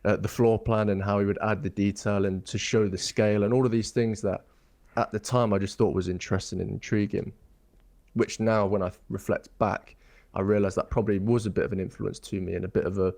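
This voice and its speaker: Young man, low voice, rambling. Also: fairly even intonation, fairly quick, creaky, darkish tone. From England. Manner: thoughtful, rambling, many ums and restarts. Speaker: guest architect. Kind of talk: casual interview chat.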